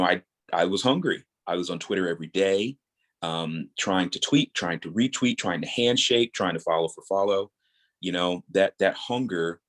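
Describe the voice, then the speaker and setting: Male, thirties, low-pitched. Earnest, encouraging creator chatting in a casual interview. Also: fairly bright tone. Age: 30s. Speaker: guest, audio drama creator.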